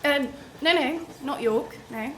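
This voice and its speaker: Woman acting a flustered, high-voiced nervous train passenger. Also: fairly bright tone, slight background noise. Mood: apologetic, self-mocking. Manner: halting, apologetic, rambling and repetitive. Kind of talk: radio play dialogue.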